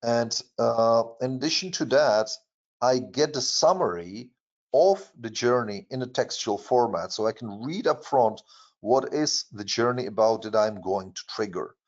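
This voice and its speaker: Man, Czech accent, webinar presenter, mid pitch, hesitant with fillers, muffled audio. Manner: halting, many fillers and restarts. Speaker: product presenter. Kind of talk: webinar software demo.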